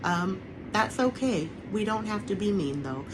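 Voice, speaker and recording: A woman's voice: medium pitch, animated, a little slow, noisy background. A woman who is an author, reading her children's picture book aloud on an online webinar recording. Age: adult, parent of a young son.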